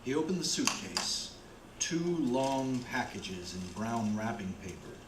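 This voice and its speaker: A man's voice: mid-range voice, big pitch swings, unhurried, noisy background. A man acting as the plain, grim storyteller of a radio play, reading scripted narration. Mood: grim, measured. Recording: roomy, with music behind.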